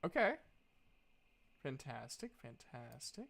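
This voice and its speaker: A man's voice: mid-range voice, big pitch swings, slow pace, vocal fry, a bit bright. A man running a tabletop game as game master, talking in a casual, easygoing, sweary way.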